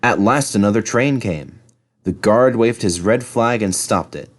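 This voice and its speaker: Low-voiced man reading a children's storybook. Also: lively intonation, measured pace. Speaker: storybook reader.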